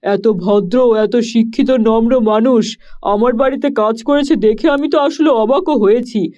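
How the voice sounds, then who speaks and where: Kindly village landlord. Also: male voice, extremely high pitch, a little slow, darkish tone, muffled recording. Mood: earnest.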